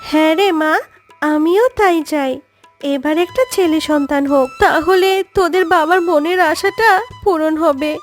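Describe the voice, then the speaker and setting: A woman voicing a gentle, worried mother fox in a children's cartoon, with an earnest manner. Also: high voice, normal speed, close to an everyday voice. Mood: consoling. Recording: background music under voice.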